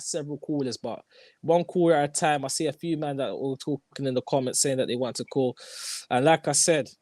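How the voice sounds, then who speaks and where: A young man hosts a lively football talk show, his voice pitched high and his words repeated for emphasis. The sound is close and dry with no room around it. He talks in quick slang. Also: no room sound. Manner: animated, repeats himself, slangy. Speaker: host. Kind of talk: casual football chat.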